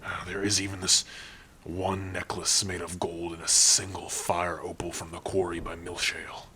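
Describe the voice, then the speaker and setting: A man plays a low-voiced male orc, gruff yet tender, in an ASMR roleplay. He speaks softly and hesitantly in an even, unhurried line with a forward, bright edge, over some background hiss. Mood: wistful, enthusiastic. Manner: soft-spoken, hesitant, with pauses and hums. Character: gruff but tender young male orc, shyly smitten. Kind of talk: ASMR roleplay addressed to the listener.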